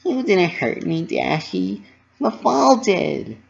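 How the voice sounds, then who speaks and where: Sweet, cheery young female pony, voiced by a man, soothing and playful, higher register, dull home-style audio. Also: wildly swooping pitch, takes it slowly, creaky, heavily acted. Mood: reassuring.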